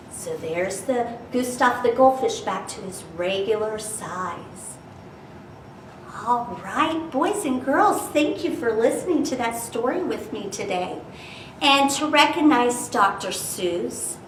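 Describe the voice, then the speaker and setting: A woman reading aloud as a children's storyteller, expressive and dramatic, talking to young children. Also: a little high. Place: indoor room, virtual storytime. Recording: roomy, distant mic with background noise.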